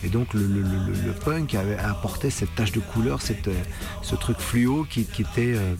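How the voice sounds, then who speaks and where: A man's voice: lowish voice, creaky. A middle-aged man from France reminiscing in a documentary interview, searching for words and repeating himself as he goes. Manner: halting, searching for words with repetitions. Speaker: punk musician interviewee. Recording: loud music behind the voice.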